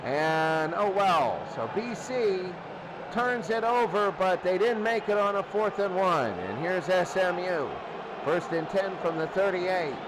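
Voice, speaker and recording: A man calling college football play by play in an excitable manner that swells with the action. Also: sits high, slow pace. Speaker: play-by-play sports commentator. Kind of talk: college football radio-style commentary. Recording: noisy recording with loud background hiss.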